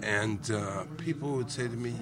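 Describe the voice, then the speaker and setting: A man's voice: medium pitch, moderate pace, a crowd in the background. A man from Boston, telling reflective stories and explaining his point at length in a casual group chat. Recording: noisy crowded room.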